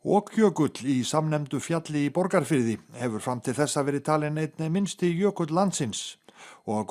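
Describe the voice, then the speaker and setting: A man with an Icelandic accent reading a formal news report in a crisp, formal manner. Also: fairly high pitch, a little mellow.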